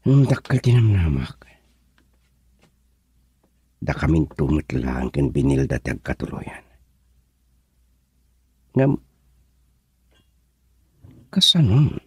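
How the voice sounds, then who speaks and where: An actor playing a brooding young man in a radio drama, musing to himself in a husky voice. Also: very deep voice, big pitch swings, slow, pauses often, no echo, heavily acted. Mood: anxious. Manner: musing inner monologue.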